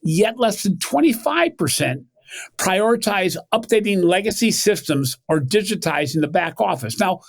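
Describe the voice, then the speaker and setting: A man hosting a banking podcast, reading a scripted introduction with emphatic, animated delivery and then asking a guest questions. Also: high-pitched, moderate pace, vocal fry. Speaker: podcast host.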